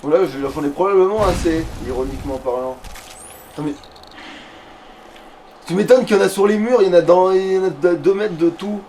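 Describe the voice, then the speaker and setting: Man, high voice, casual game commentary, sarcastic, crude, faint noise. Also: fairly quick, long pauses, mellow. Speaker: let's play co-host. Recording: distant mic, faint background noise.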